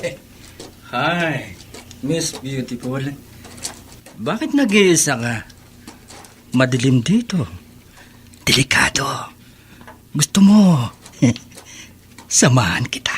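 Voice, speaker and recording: Slow, leering male predator. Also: medium pitch, sing-song, slight background noise. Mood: leering, sly. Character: menacing, leering male predator stalking in the dark. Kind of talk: Tagalog radio drama acting.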